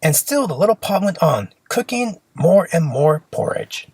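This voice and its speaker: A storyteller reading a children's fairy tale aloud in an expressive, simple storybook manner. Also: medium pitch, unhurried, husky, dry recording.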